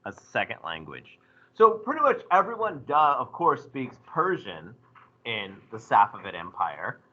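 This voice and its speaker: A man teaching a history class, lecturing in a lively way and putting questions to his students. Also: mid-range voice, normal speed, dull audio. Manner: animated, asks the class questions.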